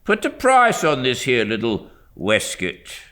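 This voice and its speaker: A man's voice: mid-range voice, wildly swooping pitch, unhurried, sharp tone, voice pushed up, heavily acted. Man acting a grumbling, haggling old-clothes shopkeeper. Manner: colloquial, complaining.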